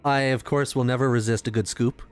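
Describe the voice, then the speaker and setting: A man's voice: mid-range voice, fairly even intonation, a little fast, clean tone. A man playing a tabletop game, chatting casually in a playful, jokey way and swearing freely. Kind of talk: casual excited game chat.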